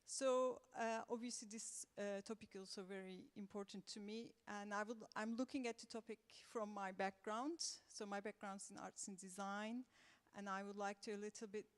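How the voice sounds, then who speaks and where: A woman from Turkey speaking English with a Turkish accent, an assistant professor on a panel who talks in a measured, conversational way. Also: mid-range voice, fairly even intonation, in a large hall. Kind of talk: round-table panel talk. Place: conference room.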